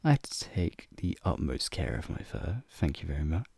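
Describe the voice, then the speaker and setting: A man playing a flirty, teasing werewolf guide in an ASMR roleplay, talking softly and playfully to the listener in a gravelly, breathy voice. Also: big pitch swings, normal speed, no room sound, heavily acted. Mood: teasing, reassuring. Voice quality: deep, gravelly, breathy low voice. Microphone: close microphone.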